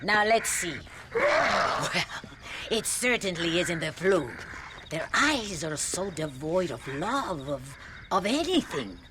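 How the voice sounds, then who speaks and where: A woman's voice: fairly low pitch, sing-song, takes it slowly, heavy background noise. An actress playing a grave, pious Mexican Mother Superior in a video game, speaking formally with a Mexican Spanish accent. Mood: curious, measured. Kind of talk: dramatic game cutscene acting.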